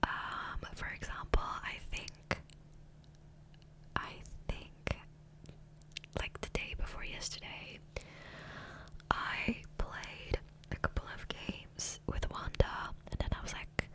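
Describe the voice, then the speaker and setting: A woman's voice: slow pace, long pauses, poor audio. A woman whispering breathily in a casual, rambling ASMR style, close to the microphone. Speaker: ASMR gaming video creator. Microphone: close USB condenser microphone.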